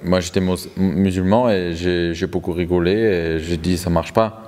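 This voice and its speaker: A man's voice: deep voice, fairly even intonation, normal speed, a little mellow. A man interpreting a testimony live, hesitant, repeating and rewording phrases as he goes. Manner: hesitant, repeats and reformulates words. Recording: long hall reverb.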